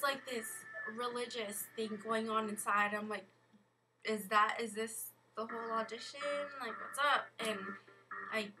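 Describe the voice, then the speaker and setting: A young American woman telling a rambling, casual vlog story in an expressive way, acting out exchanges as she goes. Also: fairly high pitch, background noise. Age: young adult. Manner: animated, expressive, acts out exchanges. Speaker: vlogger. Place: bedroom at home. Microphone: built-in camera or webcam mic at arm's length.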